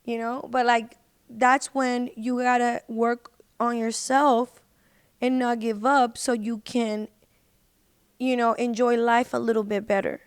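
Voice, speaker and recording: Female podcast guest, high voice, slangy and emphatic conversational delivery, very dry close sound. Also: level tone, unhurried, smooth, no echo. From United States. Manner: informal, slangy, emphatic with "like" and "literally". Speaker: podcast guest, independent singer. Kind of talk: casual chat.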